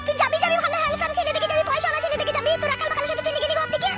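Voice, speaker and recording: A woman playing a shrill nagging mother. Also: level tone, rapid-fire, loud music behind the voice. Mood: scolding. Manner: nagging, lecturing. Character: scolding village mother, shrill and blunt.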